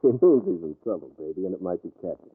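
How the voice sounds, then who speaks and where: An actor playing a wry, weary American husband and amateur sleuth, wisecracking and slangy in 1940s radio drama style. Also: male voice, low voice, big pitch swings, fast talker, slightly rough, heavily acted. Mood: wry, probing. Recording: tinny, band-limited old radio recording.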